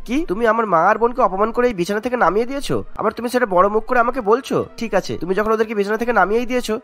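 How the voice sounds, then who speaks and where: A man's voice: high voice, very fast, a bit bright, soft background music. A man voicing a loyal, principled young husband in a cartoon. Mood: indignant.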